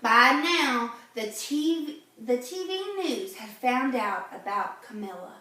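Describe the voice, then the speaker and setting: Woman, storybook read-aloud for kids: high, bright voice, exclaiming teacher-like delivery. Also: takes it slowly. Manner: animated, exclaiming, teacher-like questioning. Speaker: reader of a children's read-aloud. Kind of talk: expressive storybook read-aloud with asides to young listeners. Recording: very echoey room, distant mic.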